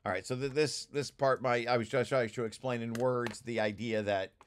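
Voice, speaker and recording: A man giving an informal technical walkthrough, explaining as he reads and often stopping to correct himself. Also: medium pitch. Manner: halting, self-correcting, explanatory. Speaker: presenter reading through own technical paper.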